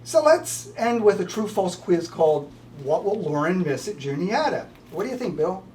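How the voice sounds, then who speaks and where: A man's voice: high-pitched, very animated, normal speed, darkish tone. An older man, a retiring college professor, giving prepared farewell remarks. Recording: distant mic with slight background noise.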